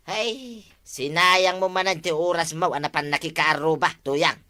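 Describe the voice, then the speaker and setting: A woman with an Ilocano accent playing a chatty, gently scolding villager in a comedy drama. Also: fairly low pitch, measured pace, no echo, clean studio sound. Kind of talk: comedy drama acting, conversational.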